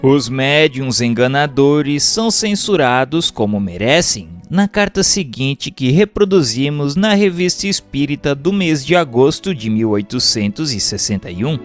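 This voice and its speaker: Expressive Brazilian male narrator over music. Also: medium pitch, measured pace, hardly any pauses. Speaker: narrator reading a book aloud. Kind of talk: formal scripted narration, audiobook-like.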